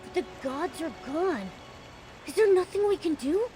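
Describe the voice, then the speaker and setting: A woman voicing a small, curious, excitable companion creature in a game, expressive and inquisitive. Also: very high voice, unhurried, faint music behind. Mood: concerned. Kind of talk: game cutscene acting.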